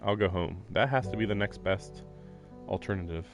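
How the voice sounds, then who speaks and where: A man's voice: on the low side, moderate pace. A man reading a visual novel aloud as a streamer, dropping in casual, wry asides. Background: music.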